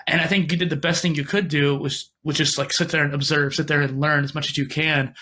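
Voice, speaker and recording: A man's voice: a little high, flat delivery, fast talker, bright, forceful tone, a little hiss or hum. A man hosting a podcast interview, conversational, encouraging and affirming. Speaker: podcast host.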